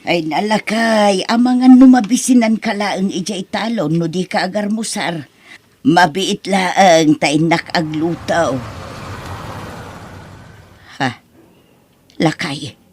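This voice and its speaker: A woman playing a worried, caring elderly rural mother in an Ilocano drama, with a husky voice and anxious, pleading manner. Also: lowish voice, slow pace, long pauses, darkish tone. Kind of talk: Ilocano radio-style drama acting.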